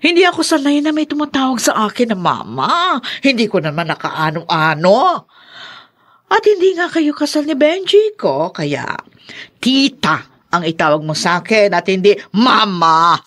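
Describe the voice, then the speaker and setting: A woman's voice: medium pitch, very animated, unhurried, fairly bright tone. An actress playing a possessive, disapproving Filipino grandmother in a radio drama, theatrical and prone to scolding and sighing. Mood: haughty, scolding. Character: possessive, disapproving grandmother, guilt-tripping her grown son.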